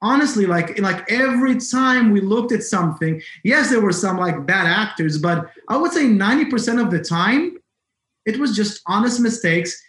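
A man's voice: sits high, expressive, normal speed, a bit bright. A middle-aged man with an Israeli accent, talking conversationally as an interview guest. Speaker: guest, cybersecurity entrepreneur. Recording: roomy, echoing sound.

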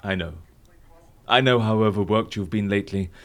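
An actor playing an excitable, sentimental, easily flustered man in an audio comedy drama. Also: lowish voice, moderate pace, close to an everyday voice. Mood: earnest.

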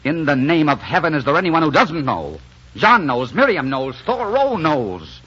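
A man's voice: fairly high pitch, big pitch swings, normal speed, a bit bright, husky, full character voice. An actor playing a stubborn, intense romantic leading man in a 1940s radio drama. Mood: bitter, insistent. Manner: intense, insistent. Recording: muffled 1940s radio broadcast.

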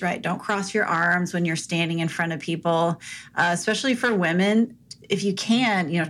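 Soft-voiced, direct woman podcast host. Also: on the low side. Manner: direct, upbeat, fillers. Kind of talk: casual podcast chat giving advice.

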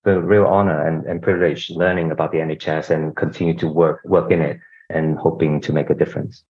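A man's voice: very deep voice, level tone, fairly quick. A middle-aged man from Singapore, a podcast guest, explaining his organisation in a conversational way and often adding "you know". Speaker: guest, healthcare executive. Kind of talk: conversational interview answers. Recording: dull lo-fi audio.

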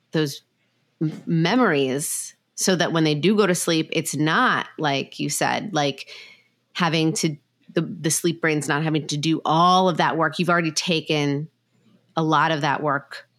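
A woman's voice: deep voice, a bit bright. A woman hosting an interview podcast, chatty and casual, often saying "like" as she speaks. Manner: chatty, uses many "like" fillers. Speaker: podcast host and clinician.

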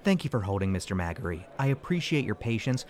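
A man's voice: fairly low pitch, sing-song, fast, darkish tone. A man playing a cocky, argumentative call-center worker in an audio comedy. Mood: polite, patronizing. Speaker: call center customer service agent. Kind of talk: audio fiction comedy acting.

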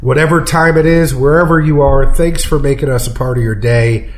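A man's voice: mid-range voice, fairly even intonation, fairly quick. Nasal, congested man co-hosting. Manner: easygoing, laughs while talking. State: congested, under the weather. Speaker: podcast co-host. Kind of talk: casual chat.

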